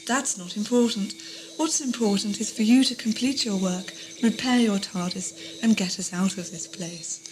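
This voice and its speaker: A woman's voice: mid-range voice, normal speed, background noise, natural voice. A woman playing a devoted, coolly practical assistant to a villain in an audio drama.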